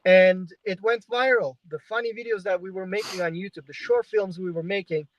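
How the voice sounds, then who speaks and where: A man's voice: high voice, fairly even intonation, brisk, no echo. Young man from Turkey, dull dry sound. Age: 20s. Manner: conversational storytelling with frequent fillers. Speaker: guest journalist. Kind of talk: livestream interview. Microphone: video call.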